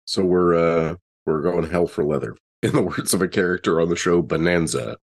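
A man's voice: sits low, normal speed. A man chatting and bantering casually as a player in a tabletop role-playing game.

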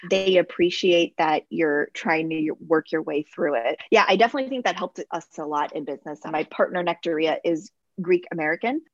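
A woman in her thirties with a lowish voice, talking as a guest in a casual interview. She speaks in measured, reflective phrases and laughs easily. Her audio sounds slightly dull and dry, like a remote call. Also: dry recording. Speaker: guest, travel business owner.

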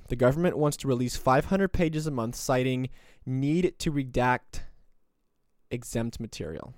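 A man's voice: medium pitch, darkish tone, no room sound, clean studio sound. A man in his twenties hosting a solo podcast, talking in a sarcastic, combative, rambling way. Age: 20s. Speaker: podcast host. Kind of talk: opinionated solo commentary.

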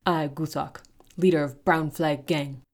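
A woman's voice: low voice, fairly even intonation, a little slow, voice pushed down. A woman voicing a scarred male half-orc gang leader who speaks in broken, snorting phrases. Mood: mocking. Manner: broken speech, snorting and derisive.

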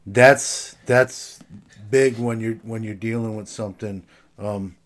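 Male, slightly deep voice, reflective and conversational, casual sports-talk delivery. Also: steady tone, slow.

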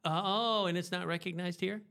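A man hosting a casual interview, chatty and encouraging, quick to praise his guest. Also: high-pitched, steady tone, gravelly, pushed and bright. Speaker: interviewer, host.

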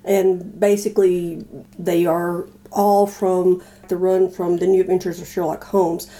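Mellow-voiced woman, casual podcast guest. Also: on the low side, flat delivery, a little slow. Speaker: podcast guest, old-time radio fan. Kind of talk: casual conversation.

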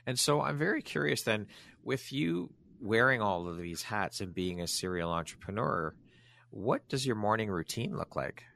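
A man's voice: lowish voice, animated, moderate pace, creaky, fairly bright tone, dry recording. A man hosting an interview, asking curious questions and affirming his guest's answers. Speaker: interview host. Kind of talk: casual interview conversation.

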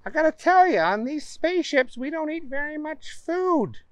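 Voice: sits high, animated, normal speed, no room sound, pitched above the performer's own voice. A reader voicing a polite, patient woman crew member who explains things in a raised, careful voice. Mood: firm.

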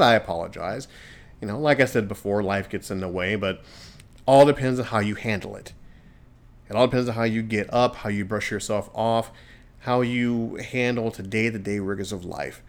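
A man hosting his own solo podcast from a studio, talking in an earnest, encouraging way with a radio-DJ delivery. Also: on the low side. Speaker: podcast host. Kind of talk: casual solo podcast monologue. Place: home studio.

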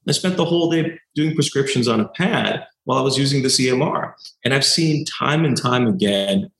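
A doctor and founder in his thirties, telling stories in an animated way and acting out quoted exchanges, with lots of "you know" fillers. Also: mid-range voice, moderate pace, creaky, reverberant, rough recording. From Canada. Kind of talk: conversational interview answers.

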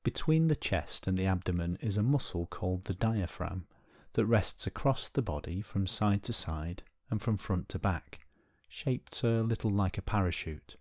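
A man gently leading a guided body scan meditation, giving unhurried instructions with pauses. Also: low voice, animated, dry recording, studio quality.

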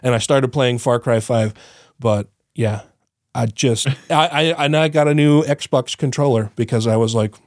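A man's voice: medium pitch, normal speed, a little mellow, no echo, clean studio sound. A man co-hosting a podcast in casual chat, his voice still a bit congested and nasal, rambling, swearing freely and laughing often. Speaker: podcast co-host.

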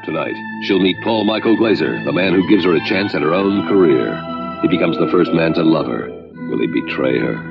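Gravelly deep trailer announcer. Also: male voice, expressive, moderate pace. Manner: portentous, building suspense. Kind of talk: dramatic TV promo narration. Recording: dull lo-fi TV audio. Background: loud dramatic music.

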